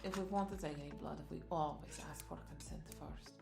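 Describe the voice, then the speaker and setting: Woman doing a seductive vampire bartender, teasing n breathy, bit of fry, intimate roleplay audio w faint music. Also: sits low, fairly even intonation, fairly quick. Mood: gentle, reassuring. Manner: flirtatious, teasing, breathy asides dropping into whispers.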